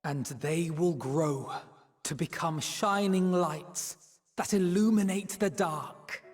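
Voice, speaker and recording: An earnest young scholar from a fantasy game. He speaks in gracious, measured, poetic phrases, in long reverb with music behind. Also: fairly high pitch. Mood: solemn, hopeful. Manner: formal, measured, poetic diction. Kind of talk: scripted narration and dramatic dialogue.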